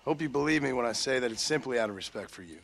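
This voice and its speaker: A man's voice: mid-range voice, animated, rapid-fire, gravelly, fairly bright tone. A man voicing a terse, wounded gunslinger, dry and polite, guarded in his words. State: recovering from a gunshot. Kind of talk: video game cutscene dialogue.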